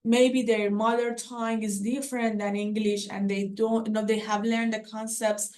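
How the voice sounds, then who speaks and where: A woman's voice: on the high side, level tone, normal speed, a little mellow. A young woman with a Persian accent giving a conference presentation, hesitant, with many fillers and restarts. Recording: voice from far off the mic.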